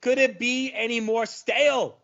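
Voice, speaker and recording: A man's voice: extremely high pitch, fairly even intonation, measured pace, creaky, fairly bright tone, exaggerated voice. A man playing an angry, opinionated wrestling fan clerk in a comic audio play, ranting emphatically with plenty of swearing. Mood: indignant. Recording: dull lo-fi audio.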